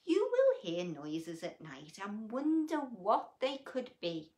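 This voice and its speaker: A woman reading a children's picture book aloud as a story host, expressively, with hushed asides and questions to her young listeners. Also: mid-range voice, huge pitch swings, takes it slowly.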